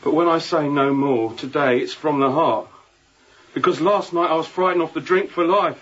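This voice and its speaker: An actor playing a contrite, frightened sailor with a husky voice and an earnest, rambling, deferential way of talking, in a radio drama. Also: male voice, on the high side, normal speed, fairly bright tone, muffled recording. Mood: pleading, contrite. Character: contrite, frightened sailor pleading after a drunken night. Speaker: ship's crewman.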